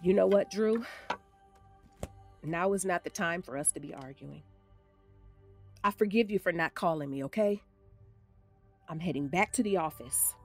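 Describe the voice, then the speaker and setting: A woman playing a hurt, fierce ex-wife and lawyer with steadfast faith in an audio drama, speaking emphatically and deliberately. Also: on the low side, soft background music, natural voice. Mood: restrained, cool.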